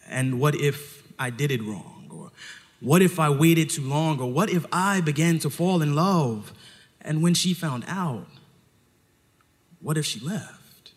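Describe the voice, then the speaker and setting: A man performing a personal story live on stage, theatrically, with dramatic pauses and poetic phrasing mixed with slang. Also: fairly high pitch. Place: live stage show in a hall. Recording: reverberant hall, live on stage.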